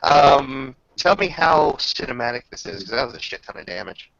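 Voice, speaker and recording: A man narrating an improvised tabletop game as game master, halting with drawn-out pauses as he describes the action. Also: mid-range voice, sing-song, vocal fry, fairly bright tone. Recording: dull lo-fi voice recording.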